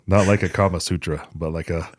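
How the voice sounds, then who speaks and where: A man with a low voice hosts a casual gear podcast. He talks bluntly and jokes around with plenty of swearing. The sound is close and dry, with very little room in it. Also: fairly even intonation, normal speed, dry recording. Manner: profane, blunt, joking. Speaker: podcast host. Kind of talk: casual chat about hunting gear.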